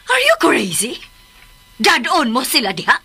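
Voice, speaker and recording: A woman's voice: high voice, wildly swooping pitch, unhurried, pushed and bright, husky, full character voice. A woman playing a suspicious, domineering mother of a grown son in a radio drama, exclamatory and with a Filipino accent. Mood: indignant, alarmed. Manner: exclamatory, indignant, switches into English. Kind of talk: emotional radio drama acting. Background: background music.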